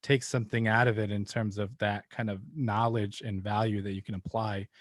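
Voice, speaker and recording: A man, a podcast host speaking in a reflective, measured way. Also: on the low side, level tone, no room sound, studio quality. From United States, born in Ukraine. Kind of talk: casual interview chat.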